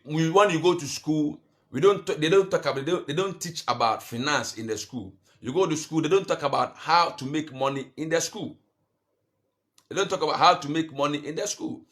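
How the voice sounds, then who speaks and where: A man giving a motivational finance talk, full of restarts and rhetorical questions, and growing louder and higher when he urges his listeners. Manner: rises in pitch and force when urging. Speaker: finance coach.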